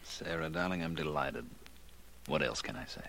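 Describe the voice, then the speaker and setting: An actor playing a smooth-talking, two-faced gigolo dancer in a 1940s radio drama. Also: male voice, a bass voice, normal speed, fairly bright tone, full character voice. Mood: syrupy, romantic. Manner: syrupy with his mark, gruff and irritable otherwise. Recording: noisy vintage radio drama recording.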